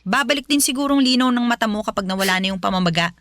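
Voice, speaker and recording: A woman playing a cruel, harsh mother in a radio drama, scolding and yelling shrilly. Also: fairly high pitch, very fast, dry recording, clean studio sound, close to an everyday voice. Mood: dismissive. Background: background music.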